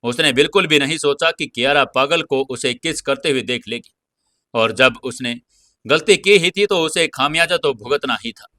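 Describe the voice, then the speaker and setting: A man's voice: mid-range voice, moderate pace, bright, forceful tone, no echo. A man narrating an audio serial in a dramatic, scripted storytelling style.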